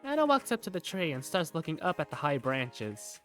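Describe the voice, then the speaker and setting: A woman's voice: low-pitched, big pitch swings, a little fast, fairly bright tone, faint music behind. A woman narrating an amateur visual novel in the first person as its lead character, with a wry, conversational manner.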